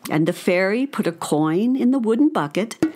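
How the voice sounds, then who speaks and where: A woman's voice: medium pitch, animated, moderate pace, noisy background. A woman narrating a scripted story for children.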